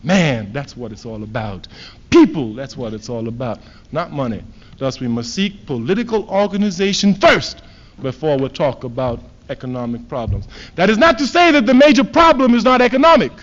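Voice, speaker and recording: Trinidad-born guy preaching politics, high voice, lots of repeating himself, dull old tape sound. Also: big pitch swings, moderate pace. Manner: emphatic, repeats phrases, swings from loud to low. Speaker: political speaker. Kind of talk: fiery political speech. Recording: dull lo-fi archive recording.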